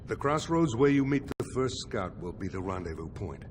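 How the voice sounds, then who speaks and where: An actor playing an authoritative older dwarven lord in a video game, formal and measured as a military planner. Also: male voice, sing-song. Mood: measured briefing. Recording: noisy rumbling ambience behind.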